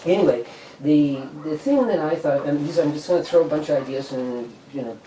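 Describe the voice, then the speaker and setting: A man pitching ideas informally to a group, rambling and tentative as he goes. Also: lively intonation, a little fast, warm tone. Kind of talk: informal brainstorming talk. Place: indoor meeting room. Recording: roomy, distant mic, faint noise.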